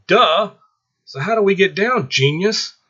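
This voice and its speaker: A man's voice: high-pitched, lively intonation, unhurried, sharp tone, muffled recording, pitched above the performer's own voice, heavily acted. A man voicing a sassy, teasing young woman in a story, with playful exclamations. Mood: sarcastic.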